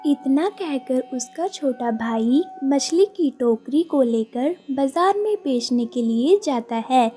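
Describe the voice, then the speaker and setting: A woman giving scripted narration of a children's moral story in a measured storytelling manner. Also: high-pitched, lively intonation, mellow, husky, soft background music.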